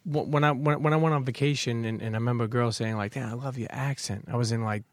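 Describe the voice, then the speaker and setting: Energetic New York host. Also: male voice, mid-range voice, very fast, no echo. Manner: energetic, repeats "okay". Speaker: podcast host. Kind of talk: casual interview.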